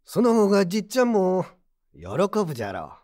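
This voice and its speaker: An actor playing a gruff but kindly old pottery mender with brusque old-man speech, in a Japanese audio drama. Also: high-pitched, wildly swooping pitch, fairly quick, a little mellow. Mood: kindly, reflective. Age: older.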